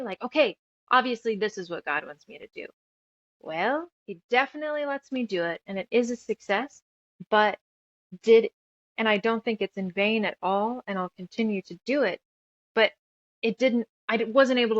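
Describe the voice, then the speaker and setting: A woman's voice: medium pitch, fairly bright tone, dry recording, dull audio. A woman in her thirties telling her story casually as an interview guest, rambling and emphatic, piling up lists as she goes. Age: 30s. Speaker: guest author and musician.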